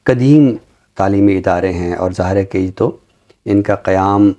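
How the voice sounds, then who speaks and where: A middle-aged Pakistani man with a low, very soft-edged, mellow voice. He talks like a university teacher hosting a literary programme, conversational yet formal, choosing his words with care. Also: muffled recording. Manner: formal, deliberate word choice. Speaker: talk show host, university teacher.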